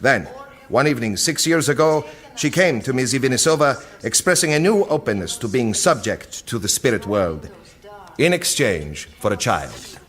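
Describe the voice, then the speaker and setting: A man's voice: medium pitch, sing-song, a bit bright. Clinical male director briefing. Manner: clinical, precise, deliberate. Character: cold, efficient male project director briefing a secret board. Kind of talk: audio drama acting.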